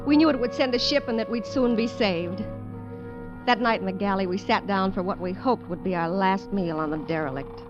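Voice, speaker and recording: An actress playing a weary, candid dancer adrift on a derelict ship in 1950s radio drama style, talking in a hesitant, low-key way. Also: female voice, brisk, lightly acted. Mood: grave, narrating. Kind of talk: 1950s radio drama acting and first-person narration. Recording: dull vintage radio, music behind.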